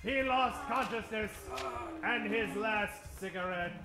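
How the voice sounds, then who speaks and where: A man's voice: high voice, slow pace, reverberant. A man narrating an old-time radio adventure in a theatrical, mock-dramatic manner.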